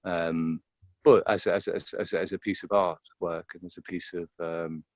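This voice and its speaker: A man with a low voice gives reflective, informal interview answers. He searches for his phrasing, stopping and repeating words as he goes. The sound is very dry, with no room around it. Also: flat delivery, moderate pace, dry recording. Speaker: interview guest, actor.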